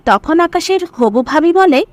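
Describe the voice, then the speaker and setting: A female storyteller reads scripted narration. Her voice is high and moves up and down in a very lively way. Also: a little slow, no echo.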